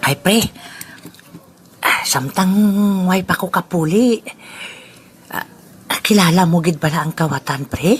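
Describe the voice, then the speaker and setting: A woman's voice: fairly low pitch, unhurried, long pauses, breathy edge. A woman voicing a scheming old man, a drinking buddy who chuckles and laughs often, in an amateur radio play. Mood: friendly, sly. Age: over 60.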